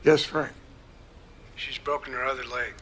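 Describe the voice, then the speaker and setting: An actor playing a distressed horse owner on the phone in a film drama. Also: male voice, medium pitch, fairly even intonation, normal speed, fairly bright tone, close to an everyday voice. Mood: grave, pained. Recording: distant film dialogue with background noise.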